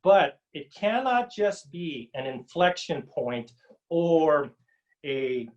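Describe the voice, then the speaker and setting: A middle-aged man from Samoa giving a slow, deliberate webinar talk from home, with many pauses and ums. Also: on the high side, expressive, darkish tone. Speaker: guest speaker. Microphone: video call. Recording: dull lo-fi video call audio.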